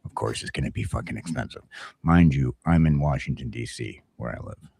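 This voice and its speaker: A middle-aged American man with an extremely low voice full of gravelly fry. He chats casually like a morning show host, blunt and folksy, swearing freely. The sound is dry and close. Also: brisk, a little mellow, no room sound. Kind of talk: casual chat and storytelling.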